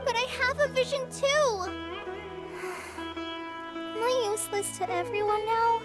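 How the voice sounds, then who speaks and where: A woman voicing a shy, earnest teenage apprentice ranger in an anime-style game, youthful and soft, speaking politely with some hesitancy. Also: very high-pitched, sing-song, moderate pace. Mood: downcast. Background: music.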